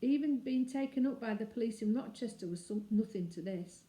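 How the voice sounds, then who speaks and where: A woman with a soft, mellow voice reads a children's storybook aloud. She is expressive and leans into the drama of the tale. The room adds a clear echo around her words. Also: on the high side, a little fast. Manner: animated, dramatizes dialogue with shifting emphasis. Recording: roomy, echoing indoor sound.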